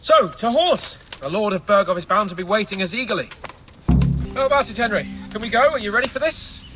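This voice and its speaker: A man's voice: high-pitched, lively intonation, fairly quick, loud music behind the voice. A man playing a brash, eager young medieval nobleman in a game cutscene, breezy and impatient. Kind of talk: video game cutscene acting.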